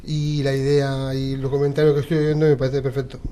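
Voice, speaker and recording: A man's voice: mid-range voice, little pitch movement, fairly quick, mellow. A middle-aged man with an accent from Spain speaking as a debate guest, hesitating and repeating himself. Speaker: football coach, debate guest. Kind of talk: spontaneous panel debate. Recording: clear background noise.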